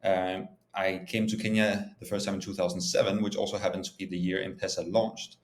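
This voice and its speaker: A man's voice: sits low, little pitch movement, brisk. A man from Denmark speaking informally and explaining things as a guest in an interview. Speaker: startup founder and CEO, interview guest. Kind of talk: conversational interview answers. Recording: echoey, roomy sound.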